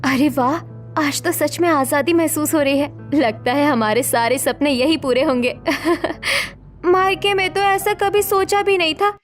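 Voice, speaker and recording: A woman voicing a freedom-loving, scheming young wife in cartoon story dialogue, in a chatty, expressive way. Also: high voice, a little slow, soft background music. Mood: delighted.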